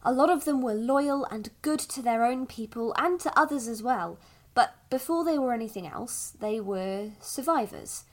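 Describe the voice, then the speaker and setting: Woman playing a patient mother, lightly high voice. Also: animated. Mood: thoughtful, explaining. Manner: careful, measured explaining with thoughtful hesitations. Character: patient, gentle mother explaining creatures to her young child. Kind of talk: fantasy audio drama dialogue.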